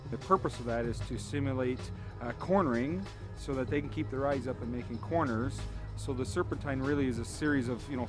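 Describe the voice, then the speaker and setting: A man, a police driving instructor, explaining a driving course in a plain-spoken way, often repeating words as he goes. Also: medium pitch. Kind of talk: interview explanation. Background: background music.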